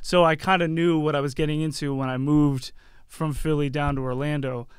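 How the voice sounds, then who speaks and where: A man's voice: on the high side, flat delivery, a little fast, clear, resonant tone, dry recording, clean studio sound. A man around his forties, chatting casually and telling anecdotes as a podcast guest. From Yardley, Pennsylvania and New Jersey, USA. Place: small curtained podcast studio. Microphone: close broadcast mic on boom arm.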